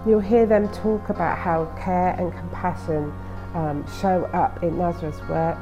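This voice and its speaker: A woman hosting a podcast, introducing the episode at a steady pace with a few ums. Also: fairly low pitch, a little slow, muffled recording. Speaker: podcast host. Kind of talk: spoken introduction. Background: music.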